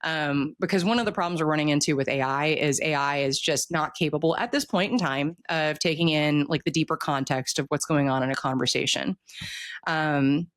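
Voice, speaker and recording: The speaker talks in a casual, conversational interview style at a mid-range pitch. The delivery is animated and rambles through explanations, with relaxed swearing dropped in. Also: brisk, no echo.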